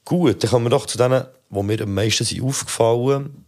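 A man with a Swiss German accent hosting a podcast, reviewing rap performances in a relaxed, critical way with many fillers. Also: mid-range voice, a little fast. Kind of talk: casual spoken review.

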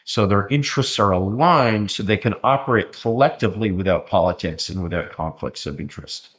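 Man, very low voice, lecturing, explaining, um-filled, restarts sentences. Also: a little fast, fairly bright tone. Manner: lecturing, with frequent ums and self-restarts. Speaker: interview guest. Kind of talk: explanatory conversational talk.

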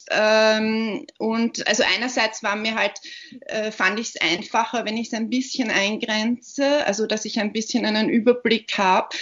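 A woman's voice: a little high, fairly bright tone. A woman with an Austrian accent, answering interview questions in a thoughtful, word-searching way. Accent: Austrian German. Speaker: podcast guest, literary platform founder. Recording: dull, muffled podcast recording.

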